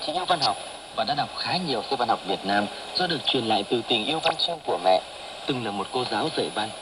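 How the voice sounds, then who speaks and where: A man's voice: medium pitch, animated, rapid-fire. A man reading a scripted radio feature over shortwave. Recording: noisy distant shortwave radio reception.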